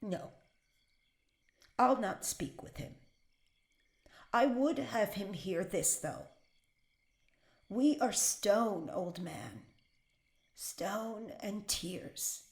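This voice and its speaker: A woman playing a proud, devout older Puritan prisoner in a period audio drama, speaking solemnly and deliberately in archaic phrasing. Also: medium pitch, expressive. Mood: cold resolve. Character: defiant, devout older imprisoned Puritan wife, proud and unbending. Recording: roomy echo.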